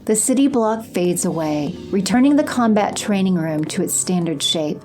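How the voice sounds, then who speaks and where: A woman's voice: mid-range voice, expressive, normal speed, a little mellow, soft background music. A woman reading scripted scene narration for an audio drama.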